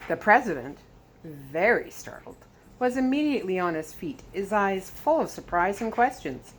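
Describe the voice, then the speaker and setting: A woman reading a children's adventure novel aloud, expressive with the dialogue. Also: mid-range voice, normal speed, poor audio. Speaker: story reader. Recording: clear background noise.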